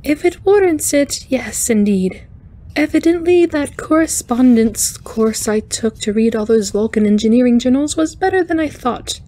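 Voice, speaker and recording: A woman's voice: on the high side, lively intonation, mellow, voice pushed up. A woman voicing a cheerful, intense female alien spider engineer in an audiobook, with a light chiming voice and courteous, precise speech. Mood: merry, courteous. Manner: brisk, precise, courteous, slightly formal. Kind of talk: audiobook character voice.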